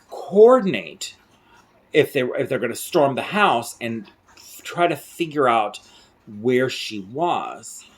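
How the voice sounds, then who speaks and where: A middle-aged man from central rural Mexico, an interpreter telling stories to the camera in a rambling way, full of fillers and restarts, recorded close up in a small room at night. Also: mid-range voice. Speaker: interpreter and vlogger. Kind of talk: casual storytelling to camera.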